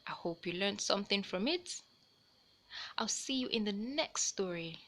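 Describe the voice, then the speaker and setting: A woman hosting a children's read-aloud, telling a storybook tale in an expressive, deliberate way. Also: mid-range voice. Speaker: host reading stories aloud for children.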